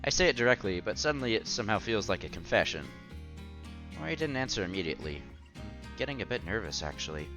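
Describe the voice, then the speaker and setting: A man's voice: on the low side, sing-song, quick pace. A man playing an earnest, bashful young college student who narrates his own romance in a visual novel. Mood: nervous, sincere. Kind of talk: visual novel read-aloud. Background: music under the voice.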